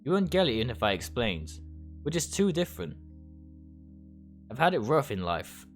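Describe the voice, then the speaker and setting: Grumpy withdrawn man acted by a male reader, visual novel dialogue, curt, reluctant, middle pitch, music behind. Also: very animated, moderate pace, close to an everyday voice. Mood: sullen.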